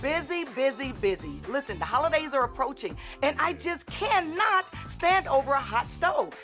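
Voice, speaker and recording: Woman, very high voice, swooping sing-song delivery, upbeat ad read, music bed behind. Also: very animated, normal speed, fairly bright tone. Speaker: ad spokeswoman.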